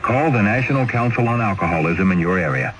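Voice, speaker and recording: Emphatic low male announcer. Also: animated, fast talker, very noisy. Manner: urging, emphatic. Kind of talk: public service announcement.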